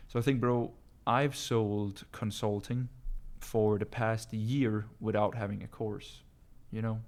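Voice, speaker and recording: Low, flat-voiced Danish man chatting. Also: slow. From Denmark. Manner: casual, calls listeners bro, many ums and uhs. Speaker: business coach answering viewer questions. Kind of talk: casual live-stream Q&A.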